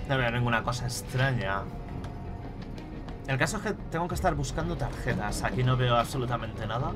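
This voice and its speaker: A man's voice: mid-range voice, lively intonation, brisk. Young male streamer from Spain, casual swearing play-by-play on a headset, game music running behind. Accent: Spain Spanish. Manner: swears freely, muttering to himself while playing. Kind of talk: casual gameplay commentary. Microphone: headset mic.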